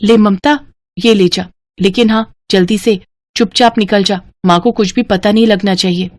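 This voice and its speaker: A woman voicing a kind-hearted young daughter of a rich family in a moral story, earnest and gently urging. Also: mid-range voice, fairly even intonation, moderate pace, natural voice. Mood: hushed urging.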